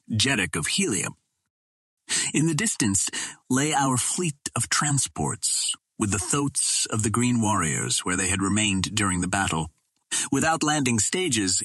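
A man reading a vintage adventure novel as a narrator, in a formal, measured storytelling manner. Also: lowish voice, bright, forceful tone, husky, no room sound. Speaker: audiobook narrator.

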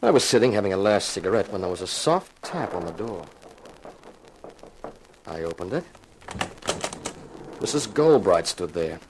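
A man's voice: sits low, very animated, normal speed, pauses often. An actor playing a wry, unflappable investigator telling his case in a 1950s radio mystery, dry and laconic. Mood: atmospheric narrating. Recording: vintage radio recording with background noise.

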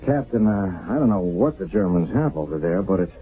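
Army sergeant: deep, dutiful and troubled, clipped delivery, old tinny radio play sound. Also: male voice, expressive, a little fast, slight background noise. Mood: tense, grave. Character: shaken, dutiful American army sergeant in wartime. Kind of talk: radio drama acting. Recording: muffled, narrow-band old radio broadcast.

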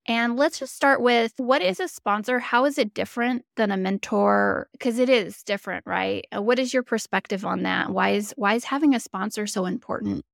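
A woman's voice: mid-range voice, normal speed, gravelly, hardly any pauses, no room sound, studio quality. A woman hosting an interview podcast, affirming and enthusiastic toward her guest. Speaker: podcast host. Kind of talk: interview conversation.

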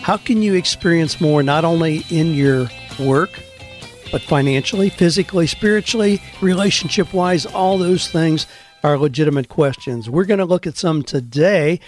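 A man's voice: fairly high pitch, moderate pace, soft background music. A man hosting a career-advice radio show, talking in an earnest, encouraging and conversational way. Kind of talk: podcast radio monologue.

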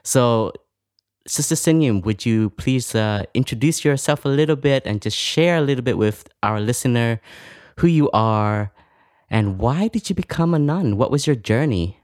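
A man's voice: mid-range voice, expressive, normal speed, a little mellow, no echo, clean studio sound. A man, a Buddhist monk, speaking conversationally as a podcast host and introducing a guest.

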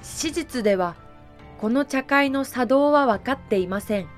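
A woman reading scripted, explanatory narration for a historical audio drama in a measured way. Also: high-pitched, expressive, faint music behind.

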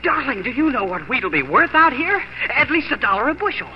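An actor playing an eager, boastful young homesteader husband in a 1940s radio drama, exuberant and earnest. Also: male voice, very high-pitched, big pitch swings, fairly quick. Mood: boastful, excited. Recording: muffled, faintly noisy 1940s radio broadcast.

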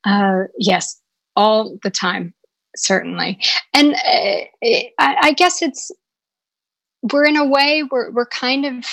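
A woman's voice: fairly high pitch, slow, vocal fry, fairly bright tone, no room sound. A woman working as a voice coach, answering interview questions thoughtfully with frequent restarts and repeated words.

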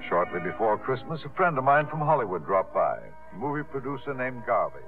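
An actor playing a gentle, patient suitor who narrates his own story in a 1950s radio drama, with a measured, wry manner. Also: medium pitch, big pitch swings, natural voice. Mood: reflective narrating. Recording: tinny 1950s radio recording with music.

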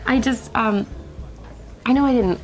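A woman's voice: a little high, unhurried, lightly acted. Actress as flustered female date: hesitant, modest, awkward, natural conversational radio drama delivery. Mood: nervous, glad. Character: shy, flustered, modest young woman on a date. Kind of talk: naturalistic audio drama acting.

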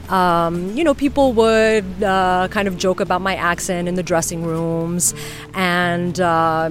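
Low-voiced woman with music behind. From United States. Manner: thoughtful, many ums and uhs. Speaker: flamenco dancer, guest. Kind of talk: casual interview answers. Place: outdoors on a beach.

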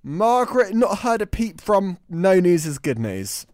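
A man trading casual radio banter as a sidekick, answering in a deadpan, understated way. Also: sits high, a little slow, dry recording, clean studio sound.

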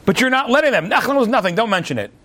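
Man, high pitched, Torah teacher, lively storyteller, talks straight to the class with asides. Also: racing. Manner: animated, repeats words mid-sentence; chatty asides to listeners. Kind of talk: storytelling sermon-style lesson.

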